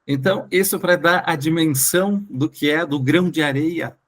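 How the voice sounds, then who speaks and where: Brazilian man recounting his experiences, halting delivery. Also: fairly high pitch, fairly bright tone. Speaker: interview guest. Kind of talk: recounting personal experiences in an interview.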